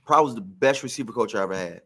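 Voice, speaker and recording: A man's voice: on the low side, expressive, racing, fairly bright tone. A man, a former pro football receiver, telling career stories on a podcast in a reflective, emphatic way.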